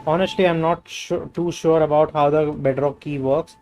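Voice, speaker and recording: A man's voice: fairly high pitch, fairly even intonation, moderate pace, darkish tone, poor audio. Indian man streaming a game over music. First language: Hindi. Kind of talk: casual gaming livestream, reading game dialogue aloud.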